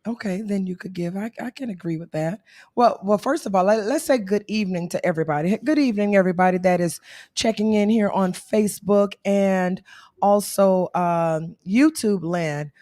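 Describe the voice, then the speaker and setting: Woman, hoarse, relaxed and teasing, casual livestream co-host, dry sound. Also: mid-range voice, moderate pace, no echo.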